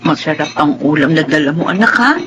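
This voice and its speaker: A woman's voice: low-pitched, animated, fast talker, background noise. A woman acting an ailing, loving middle-aged mother in a Filipino radio drama, speaking in a tender, apologetic, emotive way. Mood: mild, curious. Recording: muffled lo-fi audio, music underneath.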